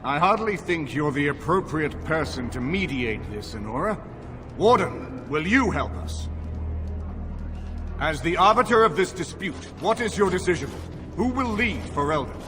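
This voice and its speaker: A stately, stern noble lord in a fantasy game. He declaims formally with wide swings in pitch, in a reverberant hall with loud music. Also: sing-song, normal speed. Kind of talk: dramatic game acting.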